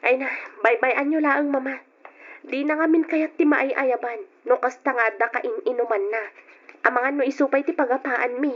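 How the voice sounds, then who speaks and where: A woman's voice: sits high, fairly even intonation, normal speed. A young woman with an Ilocano accent playing a long-suffering daughter and wife in an emotional, pleading radio drama style. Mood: tearful, reassuring. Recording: dull lo-fi radio drama recording.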